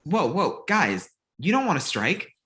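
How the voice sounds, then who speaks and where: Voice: mid-range voice, sing-song, measured pace, sharp tone. An actor playing a glib, self-absorbed amateur male film director in a comedy table read, breezy and confident. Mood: pushy.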